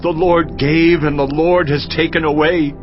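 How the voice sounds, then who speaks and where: A man's voice: a little high, steady tone, natural voice. A man voicing Job, a grieving devout man praying fervently in a dramatized scripture reading. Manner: fervent, slow, prayerful. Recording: dull lo-fi recording. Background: cinematic music.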